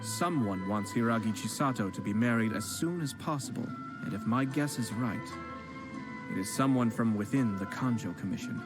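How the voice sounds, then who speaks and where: A man voicing a composed young male noble clan head who speaks in a measured, formal and polite way in a game. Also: medium pitch, natural voice, rough recording. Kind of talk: video game voice acting. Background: game music.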